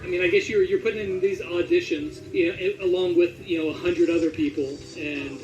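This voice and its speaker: A man's voice: high-pitched, fairly even intonation, a little fast, darkish tone. A man hosting a casual interview, asking questions in an informal, chatty, jokey way. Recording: echoey, distant, dull sound with music.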